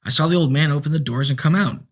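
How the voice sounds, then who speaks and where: A man's voice: fairly high pitch, fast talker, natural voice. A man reading a story aloud, voicing a worried, respectful male chauffeur. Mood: earnest. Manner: respectful, calls the listener sir.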